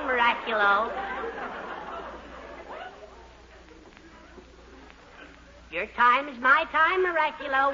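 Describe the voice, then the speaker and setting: An actress playing a bossy, persuasive schemer with theatrical, emphatic delivery in a 1940s radio sitcom. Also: female voice, high-pitched, pushed and bright, exaggerated voice. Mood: showy, insistent. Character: bossy, scheming partner with grand showmanship. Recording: dull, noisy 1940s radio recording.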